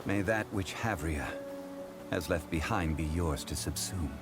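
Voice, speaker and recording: An actor playing an ancient male god in a video game, calm, dignified and formal, speaking in a slow, measured way. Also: deep voice, over music. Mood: solemn.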